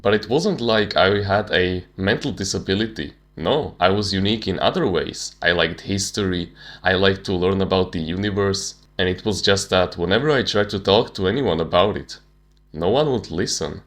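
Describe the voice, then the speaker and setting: Deep-voiced young man. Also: normal speed, fairly bright tone. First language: Slovak. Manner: casual, slangy, candid. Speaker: self-improvement channel host. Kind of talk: personal storytelling monologue.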